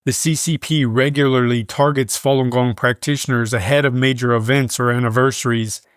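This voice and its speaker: A man reading scripted news in a measured, formal manner. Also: medium pitch, fairly even intonation, dry recording, studio quality. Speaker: news reader.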